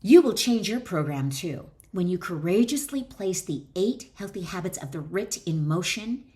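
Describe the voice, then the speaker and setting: A woman's voice: fairly low pitch, expressive, normal speed, darkish tone. A middle-aged woman author reads her own wellness book aloud with chatty asides, encouraging the listener directly.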